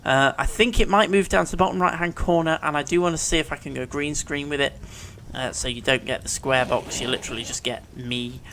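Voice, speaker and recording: A man's voice: fairly high pitch, fairly even intonation, a little fast, gravelly, few pauses, pushed and bright, slight background noise. A man with a cold and a sore throat, chatting and thinking aloud as he commentates his gameplay at home. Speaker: let's play commentator. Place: living room at home.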